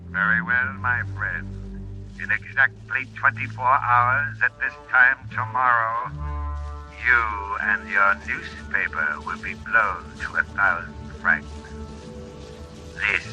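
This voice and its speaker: An actor playing a coldly menacing master villain in a 1940s radio serial, speaking in formal, ominous pronouncements. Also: male voice, fairly low pitch, low-quality recording. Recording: old radio serial, loud music overlay.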